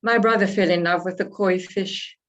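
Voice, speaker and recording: A woman from Africa, slightly hoarse from a cold, reading her poems and introducing them in a reflective, unhurried way. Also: mid-range voice, steady tone, a bit bright. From South Africa. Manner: unhurried, reflective, pausing between phrases. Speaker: poet. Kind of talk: poetry reading with spoken introductions. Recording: dull lo-fi recording.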